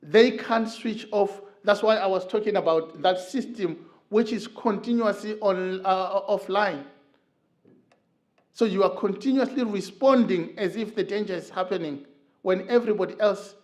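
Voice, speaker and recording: Man from Zimbabwe, middle-aged, higher pitch, earnest church talk with halting pauses. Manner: earnest, storytelling, frequent filler pauses. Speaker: church speaker. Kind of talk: talk on men's mental health. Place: church hall.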